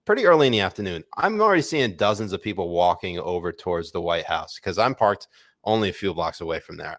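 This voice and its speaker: Laughing man with a lively swinging voice. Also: sits low, no echo, clean studio sound. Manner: laughs often, swears freely, loose rambling storytelling. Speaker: podcast co-host. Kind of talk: casual chat.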